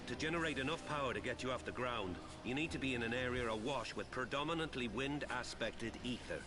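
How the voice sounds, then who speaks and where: A man's voice: mid-range voice, brisk, over music, close to an everyday voice. A man playing a blunt, informal airship mechanic in a game cutscene. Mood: matter-of-fact. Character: blunt, plain-spoken male airship mechanic. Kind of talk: scripted game cutscene acting.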